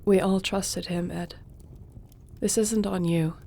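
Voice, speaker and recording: A woman playing a loyal young sorceress who advises a king, speaking in a measured, reassuring way. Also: medium pitch, steady tone, vocal fry, mellow. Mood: steady. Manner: measured, reassuring, reads reports aloud. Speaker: audio roleplay performer. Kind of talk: fantasy audio roleplay.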